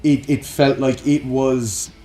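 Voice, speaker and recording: Guy hosting a chatty podcast, really into it, opinionated, bit echoey, music low behind. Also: fairly even intonation, takes it slowly, dark tone. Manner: enthusiastic, opinionated, sweary. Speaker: podcast host. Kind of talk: casual chat about games and films.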